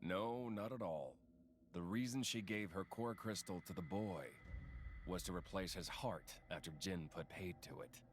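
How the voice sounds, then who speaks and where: A man playing a cold, knowing villain in a video game, explaining in a measured, matter-of-fact, dismissive manner. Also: deep voice, slight background noise. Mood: cool, explanatory. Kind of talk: dramatic video game acting. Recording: music under the voice.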